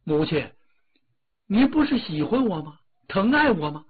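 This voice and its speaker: A man's voice: high voice, big pitch swings, unhurried, slightly rough, phone-quality sound, voice pushed up, exaggerated voice. A man voicing Nezha, an angry, petulant boy ghost who threatens his mother. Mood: indignant.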